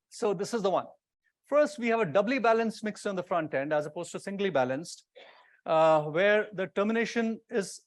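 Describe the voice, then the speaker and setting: High-pitched man presenting a technical talk. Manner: explanatory, informal, pointing things out as he goes. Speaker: conference presenter. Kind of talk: technical conference talk to an audience.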